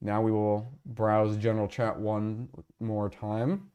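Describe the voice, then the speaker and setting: A man's voice: lowish voice, little pitch movement, slow pace, dark tone, smooth, dull audio. A man hosting a casual livestream, rambling and joking as he talks to his chat on a lapel mic. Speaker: livestream host.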